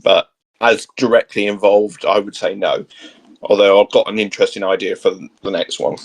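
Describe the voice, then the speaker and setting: A man's voice: lowish voice, steady tone, moderate pace, breathy edge. A man chatting casually as a co-host in a geeky discussion. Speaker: co-host of a comics discussion show.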